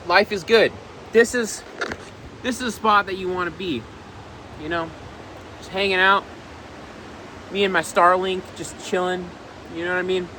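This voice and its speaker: A man's voice: high-pitched, noisy background. A man of twenty talking casually to camera in a rambling vlog, repeating himself and swearing. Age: 20s. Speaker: vlogger. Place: outdoors on a balcony.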